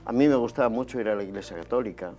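A man in his fifties from Barcelona, a guest telling his life story in a relaxed, conversational way, recorded on a lapel mic in a small TV studio. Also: medium pitch, rapid-fire. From Barcelona, Spain. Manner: relaxed and conversational, gesturing as he talks.

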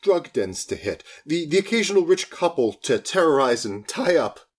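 A man's voice: a little high, very animated, moderate pace, slightly rough, voice pushed up. A man voicing a disgruntled, sardonic male office outlaw in an audiobook, grumbling and exasperated. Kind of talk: audiobook character dialogue.

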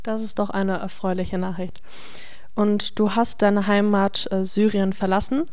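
A woman's voice: mid-range voice, little pitch movement, a little slow, a little mellow. Polite young woman asking interview questions. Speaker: youth interviewer. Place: pharmacy school lab indoors. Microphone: handheld mic.

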